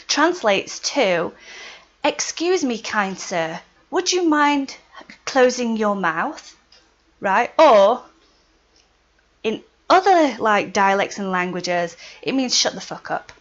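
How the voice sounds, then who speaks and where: A woman's voice: fairly high pitch, big pitch swings, takes it slowly. Chatty Yorkshire woman, slightly dull sound. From Yorkshire, England. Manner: chatty, laughs between points, often says "right" and "um". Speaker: witchcraft vlogger. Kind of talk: casual how-to vlog.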